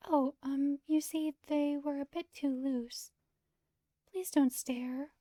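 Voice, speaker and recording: A woman voicing a shy, polite young angel girl as a soft-spoken houseguest in a visual novel. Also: high-pitched, fairly even intonation, slow, no echo, clean studio sound, voice pushed up. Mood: embarrassed. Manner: polite, demure, gentle. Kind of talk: visual novel dialogue read aloud.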